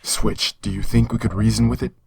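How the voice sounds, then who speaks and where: Man as a gravelly, stern space captain. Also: on the low side, fairly even intonation, a little fast, over a noisy crowd. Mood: dry. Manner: clipped, scolding. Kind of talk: science-fiction audio drama acting.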